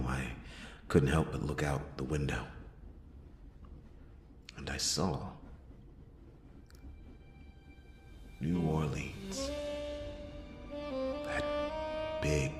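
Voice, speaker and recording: A man playing a brooding noir detective, narrating his case in a hushed, breathy ASMR roleplay with halting, dramatic pauses. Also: very deep voice, reverberant, heavily acted. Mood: brooding, resolute. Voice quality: hushed, breathy, often dropping into whisper.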